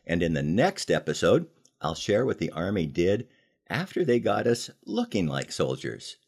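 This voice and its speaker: An older American man telling personal stories in a conversational, folksy way, leaning deliberately on key phrases, as a podcast host. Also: deep voice, very animated, creaky, a little mellow, slightly rough. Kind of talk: conversational storytelling with moral lessons.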